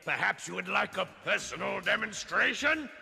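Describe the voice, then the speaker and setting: An actor playing a menacing skeletal robot ghost overlord in a cartoon, snarling and taunting in a grandiose, theatrical way. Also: male voice, high-pitched, expressive, normal speed, bright, forceful tone, exaggerated voice. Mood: gloating menace. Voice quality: gravelly, snarling. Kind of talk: 1980s cartoon villain acting. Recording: long reverb, faint music behind.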